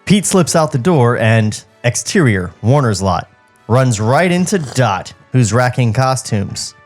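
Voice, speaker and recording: Mid-pitched man reading script directions. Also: very animated, unhurried, faint music behind. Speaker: podcast co-host reading stage directions. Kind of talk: scripted narration, table read. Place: podcast studio.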